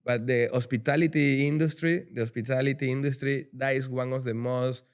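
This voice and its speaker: Venezuelan man with a smooth voice. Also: medium pitch, fairly quick. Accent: Venezuelan Spanish. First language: Spanish. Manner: animated, restarts and repeats words, tags sentences with "though". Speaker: guest, rum brand ambassador. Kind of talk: casual podcast interview. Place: lives in Miami.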